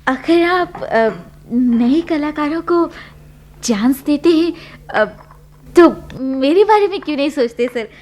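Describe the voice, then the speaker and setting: An actress playing an eager aspiring performer in a Hindi radio play, deferential, flattering and hesitant, with a coaxing manner. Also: female voice, sits high, takes it slowly. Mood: hesitant, pleading.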